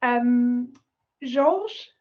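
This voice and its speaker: A woman's voice: a little high, lively intonation, drawn-out words, highs cut off. A woman moderating an online meeting, politely inviting each speaker in turn over a video call. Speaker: session moderator.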